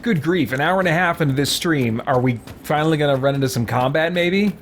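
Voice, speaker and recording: A man streaming a game with casual commentary and chat replies, thoughtful and often pausing mid-sentence. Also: a little high, slight background noise. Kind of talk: casual livestream gameplay commentary, reading chat.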